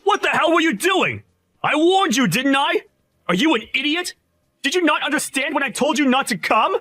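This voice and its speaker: A shrill, sneering young bully of a boss, as a man puts him on, his voice shooting high and swinging wildly as he hurls insults. The sound is bone-dry and close-miked, with no room at all. He is loud, contemptuous and full of himself. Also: huge pitch swings, normal speed, no echo, exaggerated voice. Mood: furious. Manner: yelling insults. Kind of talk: voiced story drama.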